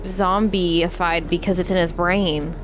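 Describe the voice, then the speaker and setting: A woman's voice: lowish voice, fairly even intonation, unhurried, slight background noise. A woman giving casual reaction commentary as a show host, musing conversationally.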